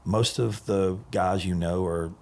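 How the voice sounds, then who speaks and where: A man's voice: deep voice, steady tone, slow, gravelly, darkish tone. A man in his forties hosting a podcast, telling stories in an enthusiastic, rambling casual chat. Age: 40s. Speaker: podcast host.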